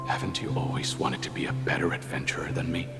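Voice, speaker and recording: A man's voice: a bass voice, flat delivery, quick pace, vocal fry. An actor playing a brave male adventurer in a video game, resolute and encouraging. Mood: resolute, tender. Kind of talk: video game drama acting. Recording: long reverb, music behind.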